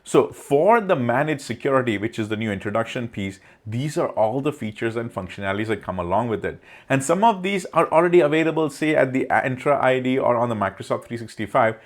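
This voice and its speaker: Conversational man presenting a tech explainer. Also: medium pitch. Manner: conversational, addresses the viewer directly. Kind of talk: informal explainer narration over slides.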